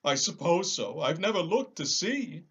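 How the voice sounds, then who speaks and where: A big cowardly lion from a storybook, played by a man, pleading in a high, swooping voice. He is plaintive and timid, full of self-pity and theatrical sorrow. The recording is slightly dull. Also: sing-song, moderate pace, a bit bright, slightly rough, heavily acted. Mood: anxious, hopeful. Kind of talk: storybook character voice.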